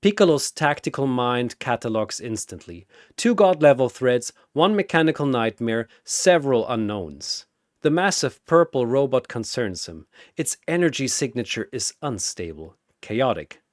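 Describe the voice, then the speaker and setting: A man delivering dramatic scripted battle narration in a theatrical, deliberate way. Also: medium pitch, sing-song, no echo, clean studio sound.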